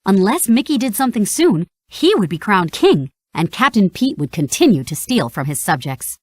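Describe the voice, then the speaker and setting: Animated woman reading a storybook. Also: medium pitch, normal speed, dry recording, studio quality. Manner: animated, expressive. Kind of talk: read-along storybook narration.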